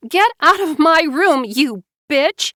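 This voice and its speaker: A woman's voice: sits high, lively intonation, slow pace, sharp tone, no echo, clean studio sound, voice pushed up, full character voice. A woman voicing a distraught young woman who is crying and screaming in despair. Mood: hysterical.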